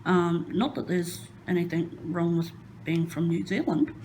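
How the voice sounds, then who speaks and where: A nervous Australian woman talks slowly and unhurriedly, filling her pauses with ums and soft self-conscious laughter. She chats casually about herself as she works at a craft table indoors, recorded on a phone. A faint background hiss sits under her voice. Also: fairly low pitch, poor audio. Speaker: crafting vlogger. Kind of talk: nervous casual chat while diamond painting.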